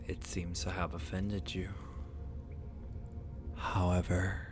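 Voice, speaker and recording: Guy acting as ancient dragon in human form, super slow low half whisper, haughty n teasing, asmr roleplay w music behind. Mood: mocking, probing. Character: ancient proud male dragon in human form, taunting, seductive.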